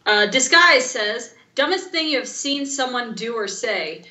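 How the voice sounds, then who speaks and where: A woman co-hosting a podcast in casual chat, blunt, sardonic and animated. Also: a little high, unhurried, echoey room. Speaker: podcast co-host.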